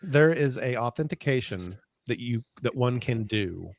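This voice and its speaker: A man's voice: mid-range voice, lively intonation, a little slow, creaky, no room sound. An American man in a casual tech chat as a co-host, informal and wryly grumbling, with folksy wording. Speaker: podcast co-host.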